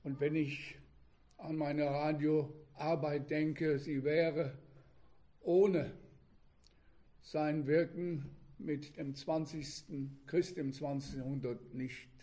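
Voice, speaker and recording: An elderly man in his eighties preaching to a congregation, speaking in deliberate, measured phrases with pauses and sharing personal reminiscences. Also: a little high, level tone, mellow. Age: 80s. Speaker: preacher, former religious radio broadcaster.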